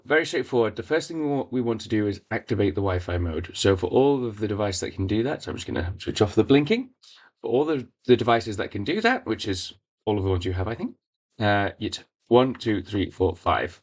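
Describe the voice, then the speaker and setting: A man whose first language is Welsh, giving a rambling, casual walkthrough of his own device, with many fillers and restarts. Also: on the low side. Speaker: device maker.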